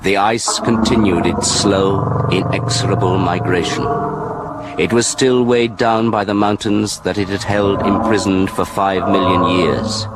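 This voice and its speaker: An actor narrating a radio disaster thriller in a grave, measured, portentous manner. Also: male voice, sits low, very noisy. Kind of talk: dramatic scripted radio narration. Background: loud music.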